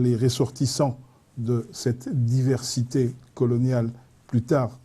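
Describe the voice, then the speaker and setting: Dark, husky-voiced man debating slowly. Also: medium pitch. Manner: measured, long winding sentences with hesitations. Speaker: studio guest, consultant. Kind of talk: studio debate.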